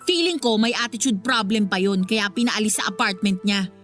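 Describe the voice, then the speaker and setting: A woman's voice: mid-range voice, normal speed, a bit bright, lightly acted. An actress with a Filipino accent playing a proud, stubborn, blunt kept woman in a radio drama. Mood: defiant. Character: proud, stubborn young kept woman who stands her ground. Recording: music behind the voice.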